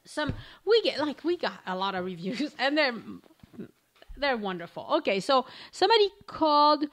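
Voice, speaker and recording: A woman hosting a travel podcast in a fairly high voice. She chats casually, laughs easily and trips over hard names while reading listener reviews. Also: sing-song, moderate pace, a bit bright. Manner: chatty, laughs often, stumbles over names. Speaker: podcast host.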